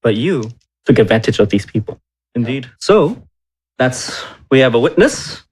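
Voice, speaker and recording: A man's voice: mid-range voice, very animated, normal speed, a little mellow, a little hiss or hum. A man playing a tabletop role-playing game, trading casual in-character banter with the group.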